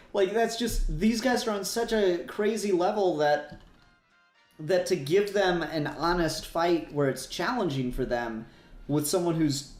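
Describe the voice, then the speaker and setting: A man's voice: fairly high pitch, a little mellow. A man in casual, sweary fan discussion as a podcast co-host, rambling along with emphatic repetition. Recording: roomy echo, faint music behind.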